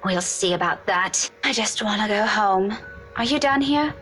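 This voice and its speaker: A woman's voice: medium pitch, animated, moderate pace, a bit bright, lightly acted. An actress playing a blunt, sarcastic young woman in a game. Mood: weary. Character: sharp-tongued young woman, blunt and teasing. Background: faint game music.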